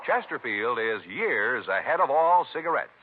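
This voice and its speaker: A man's voice: medium pitch, sing-song, moderate pace, breathy edge. A man announcing a 1950s radio advertisement with an emphatic, scripted sales delivery. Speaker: commercial announcer. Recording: tinny vintage radio recording.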